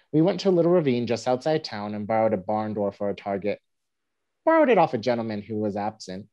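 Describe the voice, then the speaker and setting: A wry young newspaperman played by a woman spins a tall tale in a staged play reading. The voice is very low and soft-edged, swinging up and down with dry, self-mocking humour. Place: home room on a group video call.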